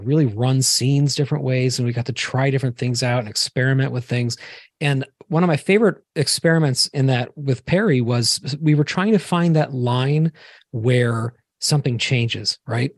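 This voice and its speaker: A man with a medium-pitched voice hosts a casual roundtable. His recording is very dry. He is effusive and informal, talking in anecdotes as he addresses his colleagues. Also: breathy edge, no echo. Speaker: podcast host and short film co-director.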